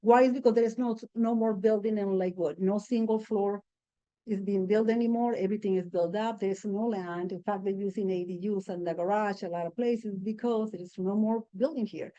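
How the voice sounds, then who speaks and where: A woman real estate agent on a panel, talking in a lively, animated way and laughing through her stories. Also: medium pitch, a little fast, lo-fi sound. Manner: lively and animated, laughs while telling anecdotes. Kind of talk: panel discussion.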